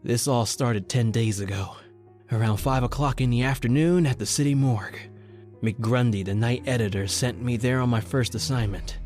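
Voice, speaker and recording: A man's voice: mid-range voice, moderate pace, a little mellow, soft background music, natural voice. A man playing a nervous young reporter who narrates his own story in an earnest, intense 1940s radio drama style. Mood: haunted, tense.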